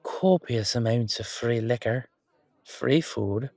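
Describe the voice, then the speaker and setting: An actor playing a jovial, roguish guard in an audio roleplay drama, with folksy, colloquial banter. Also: male voice, medium pitch, takes it slowly, a little mellow, no echo. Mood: cheerful, teasing. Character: jovial, roguish male guard fond of free drink.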